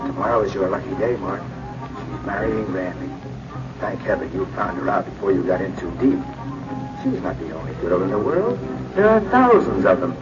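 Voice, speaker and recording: A man's voice: sits low, very animated, moderate pace, over loud music. An actor playing an earnest, shy, easily wounded businessman in a 1940s radio comedy. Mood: brooding. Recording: noisy, dull 1940s radio recording.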